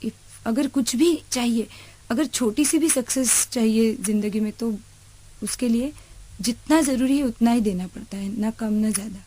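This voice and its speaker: A woman's voice: fairly high pitch, a little slow, mellow, low-quality recording. An Indian woman answering interview questions reflectively, switching between two languages as she speaks. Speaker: interview guest, teacher. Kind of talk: reflective interview answers mixing Hindi and English.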